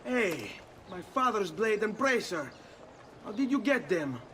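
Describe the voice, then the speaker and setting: A man's voice: high-pitched, sing-song, unhurried, a bit bright, a little hiss or hum, full character voice. An actor playing a young Italian nobleman in a video game, terse and set on vengeance, with an Italian accent. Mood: curious. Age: young adult. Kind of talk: video game cutscene acting.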